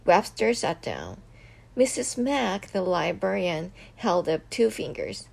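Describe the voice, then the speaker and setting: A woman reading a children's chapter book aloud, expressive, with occasional slips and restarts. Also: lowish voice, slow pace, vocal fry, husky.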